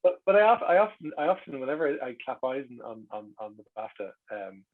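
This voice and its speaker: Irish guy chatting, husky rough voice, stumbles into his stories, dull lo-fi sound. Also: medium pitch, big pitch swings, brisk. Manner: hesitant starts, repeats words. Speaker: interview guest. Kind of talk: anecdotal casual chat. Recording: muffled, lo-fi voice track.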